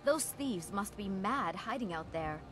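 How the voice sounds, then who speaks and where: A woman's voice: medium pitch, lively intonation, a little slow, a bit bright. An actress playing a helpful, plain-spoken townswoman guide in a fantasy video game. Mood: informative, wary. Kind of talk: scripted video game acting. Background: game music.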